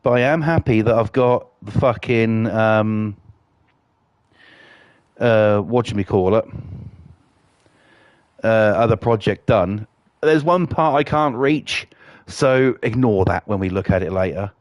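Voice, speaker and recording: A man's voice: lowish voice, slow, a little mellow, no echo. A man with a cold, chatting casually and swearing while streaming a game, with lots of fillers. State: has a cold.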